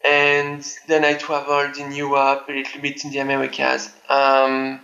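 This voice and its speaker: Bright-toned man on a dull line. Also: mid-range voice, completely flat delivery, normal speed, creaky. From France. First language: French. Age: 30s. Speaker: tax accountant guest. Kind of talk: interview answers.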